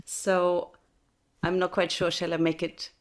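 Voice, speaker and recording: A woman's voice: on the low side, level tone, measured pace. A woman from Austria speaking on a video call as a guest speaker, chatty and laughing often. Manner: chatty, laughs often, restarts mid-phrase. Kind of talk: casual spoken reflection. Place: home in Texas, early morning.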